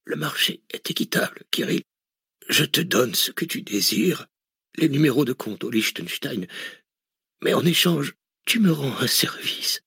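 A man's voice: a little high, animated, creaky, sharp tone, husky, no room sound, clean studio sound, pitched above the performer's own voice, heavily acted. A man doing a dying old billionaire's weak whisper. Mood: solemn. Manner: slow, mocking, condescending. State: gravely wounded, in pain.

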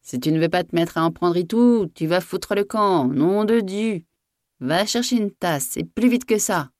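Woman voicing a gruff, hot-tempered old farm master. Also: fairly low pitch, a little slow, a little mellow, no echo, clean studio sound, natural voice. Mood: angry grumbling. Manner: gruff rustic speech, blunt and bossy. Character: old, jovial, hot-tempered male farm master who bellows orders.

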